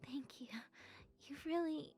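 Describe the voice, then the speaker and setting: A woman playing a shy, sweet young goth best friend in an intimate audio roleplay, soft-spoken and breathy, with hesitant, stammering speech. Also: sits high, fairly even intonation, slow, soft background music. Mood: happy, grateful.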